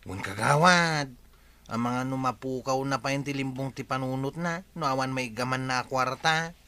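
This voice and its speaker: A man's voice: mid-range voice, moderate pace, pushed and bright, clear, resonant tone. An actor with an Ilocano accent playing a fussy, scolding younger male relative in a village radio comedy drama, exclamatory and nagging. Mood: concerned, nagging.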